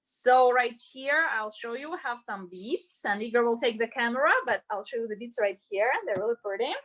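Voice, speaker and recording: Home cook, woman with Russian accent, fairly high-pitched and bright, chatty and explanatory, casual demo delivery. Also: moderate pace. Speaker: home cook leading an online cooking class. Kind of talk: casual cooking demonstration. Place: indoors at home, cooking. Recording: roomy, echoey home sound.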